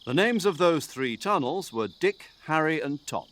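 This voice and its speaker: Older military commander, video game briefing, lively intonation, articulate, drily good-humoured, faint chirping night background. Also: male voice, a little high, normal speed, slight background noise. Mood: wry, chatty. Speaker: mission commander giving a radio briefing.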